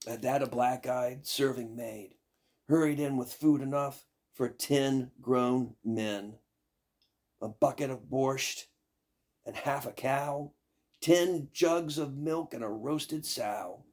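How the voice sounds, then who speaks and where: An older man with a gravelly, raspy voice reading a fairy tale aloud in a measured, expressive storytelling manner. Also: medium pitch. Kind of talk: scripted fairy tale narration.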